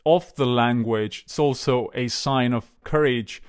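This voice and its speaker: A man in casual conversation, telling stories in a hesitant, relaxed way, with many fillers and restarts. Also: mid-range voice, measured pace, clean tone. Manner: storytelling, relaxed.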